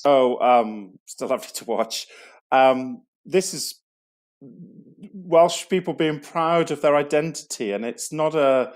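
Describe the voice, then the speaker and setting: A man's voice: fairly high pitch, slow. A middle-aged man, a school leader, giving an enthusiastic, digressive online assembly talk to students.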